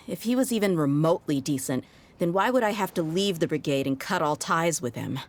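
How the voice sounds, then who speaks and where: A woman's voice: deep voice, slight background noise. A woman voicing a tough, jaded young mercenary in a video game, speaking frankly and wryly. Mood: bitter. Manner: frank, wry, world-weary. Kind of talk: video game voice acting, story dialogue.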